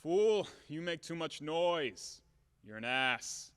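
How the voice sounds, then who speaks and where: Long hall reverb, a man acting as brash retired army officer, country gentleman, pompous, loud, formal, audio play. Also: fairly high pitch, lively intonation, slow pace, clear, resonant tone. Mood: angry, rude. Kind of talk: audio play acting of a classic stage comedy.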